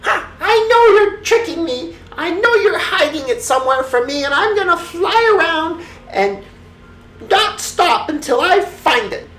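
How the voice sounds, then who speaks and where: A storyteller voicing a gleeful, sneaky witch for a children's bedtime story, with a shrill voice and drawn-out cackles. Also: sing-song, a bit bright, reverberant, heavily acted, low-quality recording. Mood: suspicious.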